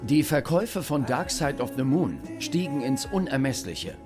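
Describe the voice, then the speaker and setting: Male commentator, acted: factual, explanatory, medium pitch, documentary radio play with music bed. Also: big pitch swings, normal speed, lightly acted. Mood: matter-of-fact. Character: matter-of-fact male commentator on a band's history. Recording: music behind the voice.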